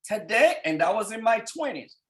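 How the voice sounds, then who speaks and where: A man's voice: high voice, sing-song, moderate pace. A man in his thirties from Haiti with a thick Haitian accent, giving a fervent motivational talk to people on a call. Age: 30s. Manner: fervent, rising to shouts at peaks. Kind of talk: motivational speech.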